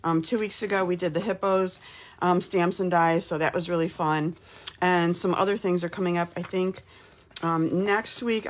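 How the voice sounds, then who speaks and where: A woman from Texas in her fifties, slightly hoarse from a cold, chatting casually while hosting a live craft demo from her home craft room. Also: lowish voice, slight background noise. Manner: chatty, upbeat, lots of "um"s.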